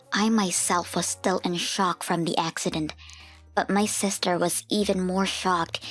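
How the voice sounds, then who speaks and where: A woman narrates a scripted story in the first person for a manga dub. Her voice is fairly low and husky, with background music running underneath.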